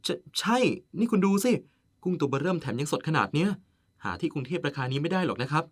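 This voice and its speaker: Man acting fast-talking, husky, caring husband. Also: on the high side, close to an everyday voice. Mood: chatty. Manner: polite, chiding, teasing. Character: caring, nagging, playful male partner of an older man. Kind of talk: Thai audio drama acting.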